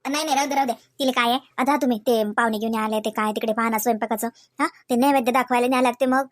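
A woman's voice: fairly high pitch, steady tone, fast talker, dry recording, lightly acted. A woman voicing a nagging, devout young mother in a comedy cartoon, speaking colloquial rural Marathi with a Vidarbha accent in a persuasive way. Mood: insistent.

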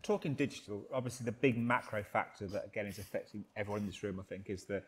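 A man's voice: on the low side, fast, husky. A man interviewing a guest on a conference stage, asking brief questions. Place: conference stage before an audience.

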